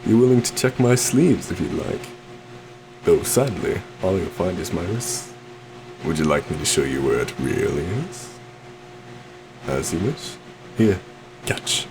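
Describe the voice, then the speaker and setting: Man acting a suave deep-voiced, gravelly stage magician. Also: lively intonation, measured pace, long pauses, noisy background. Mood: playful showmanship. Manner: theatrical, courtly, soft coaxing with terms of endearment. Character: suave, possessive adult male stage magician, sweetly menacing. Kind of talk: ASMR roleplay acting.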